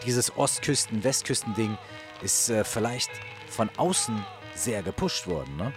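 A man's voice: fairly low pitch, lively intonation, a little slow, over music. A man co-hosting a radio feature, commenting in a casual, colloquial, conversational way.

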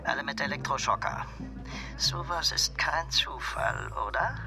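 Dry policeman under loud music. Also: mid-range voice, unhurried, vocal fry. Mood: dry, informative. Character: dry, wry male police colleague. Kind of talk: naturalistic radio drama acting.

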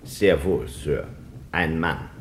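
An actor playing a stiff, dutiful English butler, formal and deadpan, in a 1950s German radio play. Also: male voice, extremely low pitch, slow pace, exaggerated voice. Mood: deferential, deadpan. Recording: echoey old radio recording, faint hiss.

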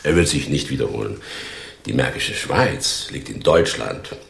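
A man's voice: extremely low pitch, lively intonation, unhurried, gravelly, fairly bright tone, reverberant, full character voice. An actor in a radio play, playing a grandiose down-and-out former stage performer who poses as a big businessman, talking in a theatrical, persuasive way. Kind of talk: German radio play acting.

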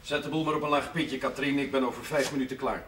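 An actor playing a fatherly, wry village parish priest in a television period drama, gently admonishing. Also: mid-range voice, steady tone, quick pace, close to an everyday voice. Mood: wry, inquiring. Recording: noisy old television drama soundtrack.

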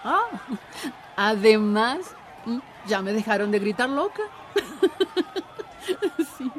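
A woman's voice: mid-range voice, expressive, takes it slowly. Outspoken village woman over siren noise. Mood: gracious, wryly amused. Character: friendly, outspoken village woman activist, wryly amused. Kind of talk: radio drama acting, casual chat. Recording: siren and noisy ambience behind voice.

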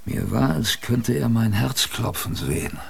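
An actor playing a gruff but fond old sailor grandfather, telling his memories in a salty, colloquial radio-play manner. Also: mid-range voice, full character voice. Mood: wistful reminiscing. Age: older. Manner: colloquial, salty storytelling with musing pauses. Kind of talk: radio drama first-person narration.

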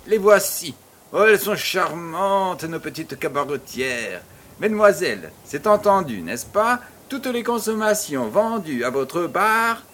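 A man plays a grand, jovial baron in an audio drama, high in pitch and swooping with lively intonation. He is pompous and ceremonious, and he takes his time over every phrase. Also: a little hiss or hum. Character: pompous, jovial male baron hosting a charity fête. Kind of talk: French audio drama acting.

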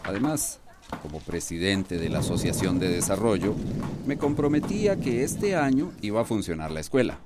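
An actor with a Costa Rican accent playing a proud, bossy widower who presides over a village association, in a radio drama. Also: male voice, deep voice, sing-song, moderate pace. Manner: bossy, self-assured. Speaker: community leader. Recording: hissy old radio drama tape.

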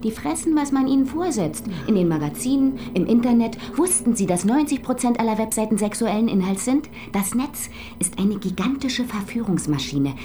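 An actress playing an intense, bitter woman visitor who talks in a sharp, insistent and preachy way. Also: fairly high pitch, expressive, normal speed, hardly any pauses, natural voice. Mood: scornful.

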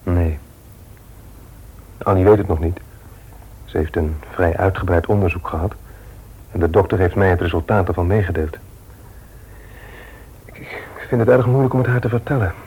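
Radio drama: reserved, troubled married man, husky and low, guarded delivery. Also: a little mellow, rough recording. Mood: sorrowful, hesitant. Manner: halting, guarded.

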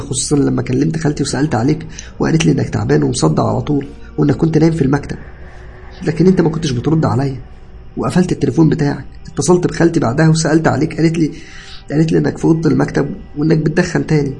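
A man's voice: fairly high pitch, fairly even intonation, rapid-fire, gravelly, dark tone, soft background music, pitched above the performer's own voice. Man acting a tearful, pleading young Egyptian man. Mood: frantic, pleading. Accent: Egyptian Arabic. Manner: stammering, pleading. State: crying. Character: distraught tearful young male cousin, confessing guiltily.

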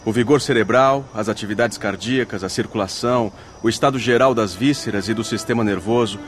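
An actor playing a composed, devout leader of spirit missionaries, formal and methodical in a radio drama. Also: male voice, lowish voice, a little fast, faint music behind, natural voice. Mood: composed, purposeful.

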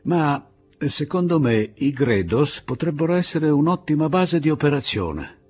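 A man's voice: a little high, expressive, measured pace, darkish tone, slightly rough, soft background music, pitched above the performer's own voice. A man voicing a determined young demolition expert who speaks in a raised, urgent, persuasive way, in an audiobook.

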